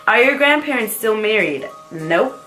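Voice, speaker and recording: A woman's voice: a little high, lively intonation, takes it slowly, bright, forceful tone, faint music behind, poor audio. Chatty young woman vlogger. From United States. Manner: chatty, rambling, with fillers and giggles. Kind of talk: casual tag video chat.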